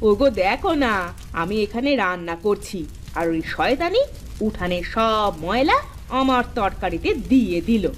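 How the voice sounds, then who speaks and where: A woman voicing a quarrelsome, suspicious, sharp-tongued village wife in a comic folk-tale cartoon, with theatrical scolding and wailing. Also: medium pitch, lively intonation, normal speed, slight background noise, lightly acted. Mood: aggrieved.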